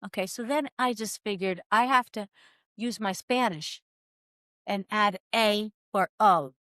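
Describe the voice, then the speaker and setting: Lively storytelling woman in her sixties. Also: medium pitch, unhurried, no room sound, clean studio sound. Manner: lively, acts out quoted dialogue. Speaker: former cycling team soigneur, guest. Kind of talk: animated storytelling in an interview.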